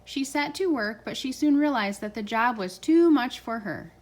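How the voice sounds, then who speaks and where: Woman, parent reading a children's story aloud, higher-pitched voice. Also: expressive, normal speed.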